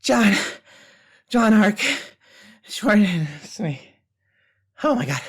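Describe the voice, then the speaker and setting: A man's voice: sits high, expressive, slow pace, husky, exaggerated voice. A man playing a thoughtful, self-doubting teenage novice fighter in an audio drama, earnestly reasoning things out. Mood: flustered. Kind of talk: fan audio drama acting.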